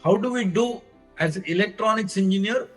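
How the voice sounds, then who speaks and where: A man with an Indian accent giving a technical lecture as a presenter, explaining deliberately step by step in short phrases. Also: sits high, faint music behind. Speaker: lecturer presenting own engineering research.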